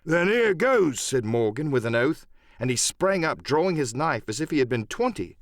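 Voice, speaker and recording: Expressive male audiobook narrator, very dry recording. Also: mid-range voice, normal speed, no echo. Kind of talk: scripted dramatic story narration.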